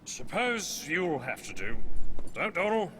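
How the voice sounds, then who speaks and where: Swaggering pirate captain, wildly swooping voice. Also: male voice, fairly high pitch, big pitch swings, unhurried, full character voice. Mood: wry, swaggering. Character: sly, swaggering adult male pirate captain. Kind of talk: video game character acting. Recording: echoey game audio, quiet behind commentators.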